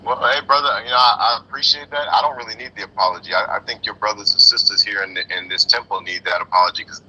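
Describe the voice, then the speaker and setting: A man hosting a heated debate, talking fast and insistently and repeating himself as he pushes his point. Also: lowish voice, pushed and bright, slightly rough, rough recording. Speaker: host.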